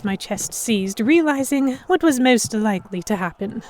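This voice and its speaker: A woman giving expressive first-person narration in the style of a dramatic audiobook. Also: on the high side, normal speed.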